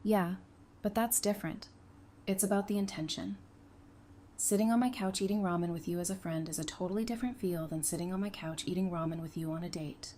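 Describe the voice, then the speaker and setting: A woman's voice: on the low side, steady tone, a little fast, warm tone, slight background noise, close to an everyday voice. An actress playing a sensible, affectionate, plain-spoken woman in an audio drama. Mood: caring, practical. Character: frank, teasing, sensible woman, affectionate with her sweetheart.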